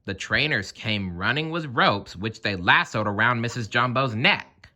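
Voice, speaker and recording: A man reading a children's story aloud in an expressive, animated narrating style. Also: mid-range voice, a little slow. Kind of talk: children's read-aloud narration with playful asides.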